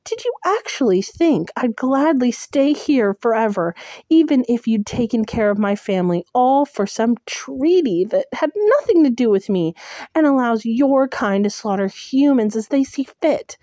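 Defiant young heroine, high, strained and loud, as the narrator puts her on. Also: lively intonation, unhurried, no echo. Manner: pleading, high-strung and loud.

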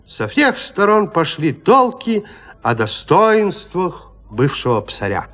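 Expressive high-pitched male literary narrator. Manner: expressive, measured storytelling. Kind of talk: dramatic literary narration in an audio drama.

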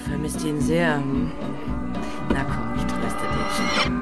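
A woman's voice: extremely low pitch, steady tone, takes it slowly, loud music behind the voice, exaggerated voice. An actress in a radio play voicing a flirtatious, teasing woman at a party. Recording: crowd noise behind.

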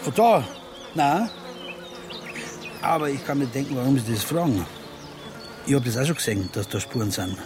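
A man's voice: medium pitch, animated, normal speed, long pauses, background noise, lightly acted. Grumpy Bavarian forester played by a man, flies buzzing. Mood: gruff, impatient. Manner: gruff, blunt, grumbling. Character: grumpy, indignant male forester with a dog. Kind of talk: naturalistic radio drama acting.

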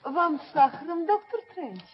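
An actress playing a well-bred, self-possessed young daughter in a theatrical radio play. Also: female voice, very high-pitched, big pitch swings, moderate pace. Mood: poised. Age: young adult. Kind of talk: theatrical radio drama acting. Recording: dull, noisy 1970s radio recording.

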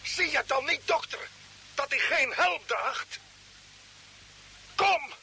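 An actor playing an urgent, concerned space expedition commander in a vintage radio drama, with exclamatory delivery. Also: male voice, extremely high pitch, lively intonation, slow, vocal fry, sharp tone, full character voice. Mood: alarmed, excited. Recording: hissy old radio recording, background noise.

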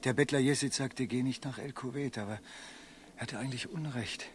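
A man's voice: mid-range voice, brisk, natural voice. A male actor playing a brooding, fatalistic merchant in a German radio play, speaking in a grave, dreamy and dramatic way. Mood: brooding, regretful. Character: haunted merchant, grown man, brooding, fatalistic. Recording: vintage radio recording with faint noise.

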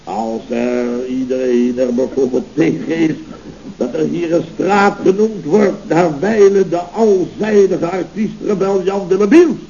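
An actor playing a pompous, hot-tempered middle-aged contractor boss in a Dutch radio comedy serial, with bombastic, flowery, melodramatic delivery. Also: male voice, fairly high pitch, steady tone, measured pace, vocal fry, warm tone. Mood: indignant. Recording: muffled old radio recording with hiss.

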